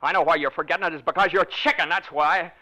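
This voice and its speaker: An actor playing a desperate, vengeful wounded soldier in an old-time radio drama, urgent and bossy. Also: male voice, fairly high pitch, steady tone, quick pace, sharp tone, muffled recording. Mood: bitter, furious. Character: desperate, vengeful wounded war veteran, a man in a wheelchair. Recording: vintage radio recording.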